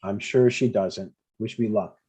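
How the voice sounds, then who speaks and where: A man's voice: fairly low pitch, measured pace, mellow, slightly rough. A man in his sixties playing an earnest, eager but stubborn dad in a conversational comedy table read. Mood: hopeful.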